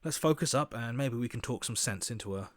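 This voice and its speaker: A man's voice: mid-range voice, brisk, no echo, studio quality, natural voice. An actor voicing a cautious, earnest heroic man in a video game, reasoning things through with concern. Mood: earnest, wary. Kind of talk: dramatic video game voice acting.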